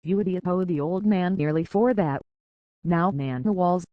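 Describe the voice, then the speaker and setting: A woman's voice: lowish voice, normal speed, dry recording, lo-fi sound, lightly acted. An actress playing a stoic, dying island sailor woman in a video game, plainspoken and folksy, weary but stubborn. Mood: urgent, commanding. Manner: plainspoken, folksy rural islander speech, resigned yet stubborn. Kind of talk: dramatic video game dialogue.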